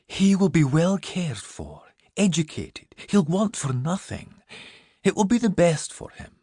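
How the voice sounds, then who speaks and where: A gentle but firm lady, voiced by a male audiobook narrator, soothing and sad. The voice is hushed and husky, rising and falling expressively in a close, dry recording. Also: sits high, sing-song, a little slow, no room sound, pitched above the performer's own voice, heavily acted. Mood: firm, pleading.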